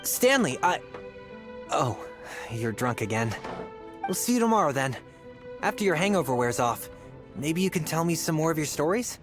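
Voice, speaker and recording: An actor playing an earnest, friendly young adventurer with an eager manner in a fantasy game. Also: male voice, on the high side, sing-song, moderate pace, pauses often, music in the background. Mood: fond, eager.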